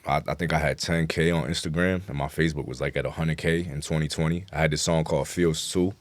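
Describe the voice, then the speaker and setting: A man's voice: a bass voice, steady tone, fast talker, dry recording. A man with a New York accent talking casually and slangily as a rapper guest on a podcast, swearing freely. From New York City. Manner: slangy, profanity-laced, frequent "you know". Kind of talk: casual podcast interview talk.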